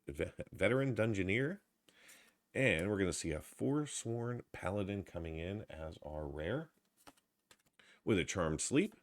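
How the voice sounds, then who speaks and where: Man, very low voice, lively pitch, stuffy from a cold, low-energy, casual card-opening commentary. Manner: low-energy, listing card names with frequent uh fillers. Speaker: card box opener.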